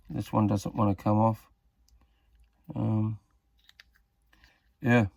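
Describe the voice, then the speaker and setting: A man's voice: lowish voice, creaky, no room sound. A man giving a casual hands-on repair tutorial, talking tentatively and muttering as he works. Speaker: hobbyist demonstrating a radio repair.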